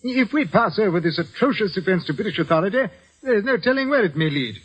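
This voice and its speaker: An actor playing a stuffy, indignant English gentleman in an old-time radio drama, husky and pompous. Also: high voice, lively intonation, quick pace, heavily acted. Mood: indignant, grave.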